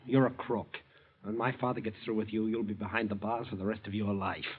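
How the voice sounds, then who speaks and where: Defensive, husky-voiced young man, old radio. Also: fairly low pitch, little pitch movement, fairly quick. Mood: defiant. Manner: agitated, defensive. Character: defensive, frightened young man, prosecutor's son. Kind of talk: old-time radio drama acting. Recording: dull old radio recording.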